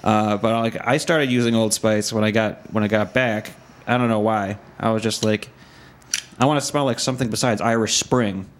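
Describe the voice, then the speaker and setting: A man's voice: lowish voice, steady tone, normal speed, a little hiss or hum. A man casually chatting as a podcast host, joking, swearing freely and laughing often.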